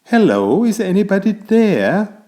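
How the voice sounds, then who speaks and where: Male narrator as polite tomcat, children's storybook, theatrical, easily alarmed, pitched up. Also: big pitch swings, a little slow, mellow. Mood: calling out.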